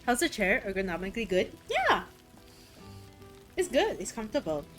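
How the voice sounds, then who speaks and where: A woman streaming a video game and chatting casually, excitable and swearing freely, her throat a little rough today. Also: medium pitch, normal speed, loud music behind the voice. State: throat a bit rough, voice deeper than usual. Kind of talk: casual chat while gaming.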